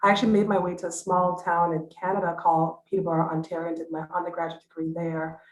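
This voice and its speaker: A woman in her thirties from Anguilla, a guest telling her story in a relaxed, easygoing way. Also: lowish voice, fast talker, echoey room, rough recording. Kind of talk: conversational interview answer.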